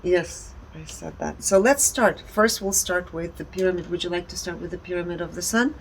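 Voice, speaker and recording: A woman giving a conversational, explanatory presentation in deliberate short phrases. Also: fairly low pitch, low-quality recording. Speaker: speaker presenting energy readings. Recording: background ambient noise.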